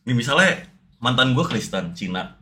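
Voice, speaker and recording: A young male streamer who grew up in New York, chatting and teasing his guest in quick mixed Indonesian and English banter. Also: medium pitch, lively intonation, pushed and bright. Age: young adult. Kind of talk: casual stream chat, mixing Indonesian and English slang.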